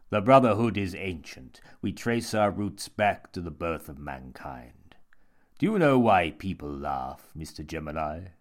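A man's voice: very deep voice, pitched below the performer's own voice. A man voicing a sinister monk and self-styled holy fool in an audiobook, formal, grandiose and menacing. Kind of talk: audiobook character voice.

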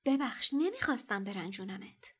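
A woman's voice: fairly high pitch, big pitch swings, slightly rough. A woman voicing a polite, slightly hesitant teenage boy wizard in an audiobook. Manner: hesitant, polite, whispering urgently. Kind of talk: audiobook character voice.

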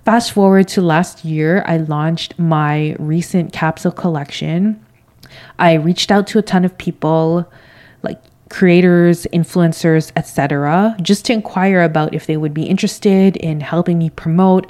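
Low-pitched Canadian woman hosting a podcast. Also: normal speed, darkish tone. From Toronto, Canada. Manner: casual and candid, slangy. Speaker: podcast host. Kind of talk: solo podcast monologue, conversational.

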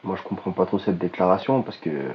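A man co-hosting a casual football podcast, speaking in a measured way and repeating words for emphasis. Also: sits low, muffled recording, slight background noise. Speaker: podcast co-host.

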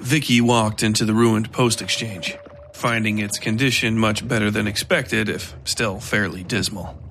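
Male dark-fiction narrator over watery ambience. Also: lowish voice, steady tone, moderate pace, slight background noise.

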